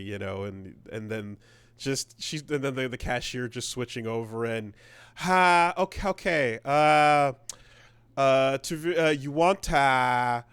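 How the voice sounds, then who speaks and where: A man co-hosting a podcast in casual chat, rambling and animated, swearing freely. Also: medium pitch, clean tone. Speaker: podcast co-host.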